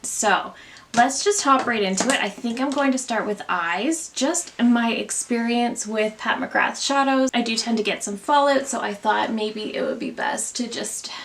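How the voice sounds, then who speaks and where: A woman's voice: a little high, sing-song, moderate pace, a bit bright, slight background noise. A young woman hosting a casual makeup tutorial, chatting candidly about products and sounding congested from allergies. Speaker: beauty vlogger.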